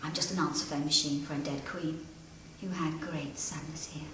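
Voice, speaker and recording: A woman guides visitors through history with a formal, dramatic delivery. Her voice is on the low side and very echoey, picked up from a little distance with faint noise around it. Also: steady tone, normal speed, a bit bright. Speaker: castle guide and historical interpreter. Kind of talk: storytelling to camera. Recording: echoey great hall, distant mic, background noise.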